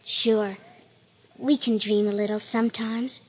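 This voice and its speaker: A woman's voice: medium pitch, level tone, unhurried, over loud music, close to an everyday voice. An actress playing a plucky, streetwise city girl leading her gang in an old radio musical comedy. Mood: wistful. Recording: muffled vintage radio recording.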